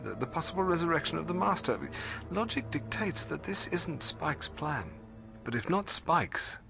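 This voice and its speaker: An actor playing a bookish, middle-aged British librarian mentor in a video game, formal and precise, hesitating when puzzled. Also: male voice, medium pitch, vocal fry. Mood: grave, puzzled.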